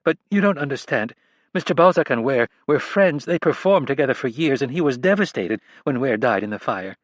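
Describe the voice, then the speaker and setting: A male narrator voicing a frightened young female illusionist, pleading in a rushed, tearful way. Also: mid-range voice, animated, dry recording, voice pushed up, close to an everyday voice.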